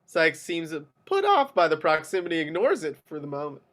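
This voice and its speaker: A man's voice: a little high, huge pitch swings, moderate pace, a bit bright. A man narrating screenplay action in clipped descriptive phrases at an amateur table read. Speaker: narrator reading stage directions.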